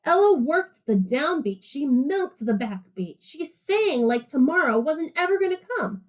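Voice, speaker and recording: A woman reads as a streetwise alley cat who loves jazz, telling a picture-book story. The voice sits high. The delivery is slangy and rhythmic, with playful emphasis. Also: muffled recording. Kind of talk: picture-book read-aloud narration.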